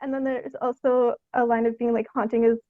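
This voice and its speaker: A young woman speaks in a fairly high voice, feeling her way through interview answers. She is thoughtful and hesitant, peppering sentences with "like". The recording is very dry and slightly phone-like, with a thin band. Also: level tone, a little fast, no room sound. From Canada. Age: young adult. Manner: hesitant, thoughtful, frequent "like" as filler. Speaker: podcast guest, theatre artist.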